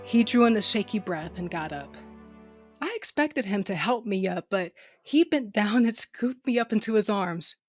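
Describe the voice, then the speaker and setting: A woman's voice: medium pitch, lively intonation, moderate pace, no echo, faint music behind, lightly acted. A woman playing a tough, wounded, sardonic smuggler who tells her own story in an audio drama, intimate and wry. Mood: wry, tender narration. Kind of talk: first-person audio drama narration with dialogue.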